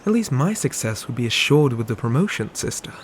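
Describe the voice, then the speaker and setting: A man acts out a stern, ambitious knight in a medieval fantasy roleplay. His medium-pitched voice carries formal, old-world phrasing in a measured delivery, with audible ambient noise in the recording. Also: very animated, lightly acted. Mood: exasperated. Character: dutiful, stern male knight, ambitious twin brother, protective. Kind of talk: scripted medieval fantasy audio roleplay, ASMR.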